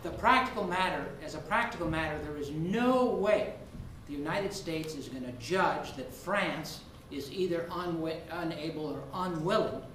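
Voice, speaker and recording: A man who is a law professor speaking in a debate, laying out his case deliberately in short rhetorical phrases. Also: on the high side, fairly bright tone. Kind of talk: spoken legal debate. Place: indoor room at a law school event. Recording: very echoey room, distant mic, faint noise.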